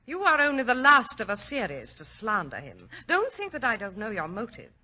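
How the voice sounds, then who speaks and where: A woman's voice: fairly high pitch, huge pitch swings, normal speed, bright, forceful tone, heavily acted. A woman playing a haughty, stubborn young upper-class lady with icy, formal speech in 1940s radio drama style. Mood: indignant. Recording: dull lo-fi old radio recording.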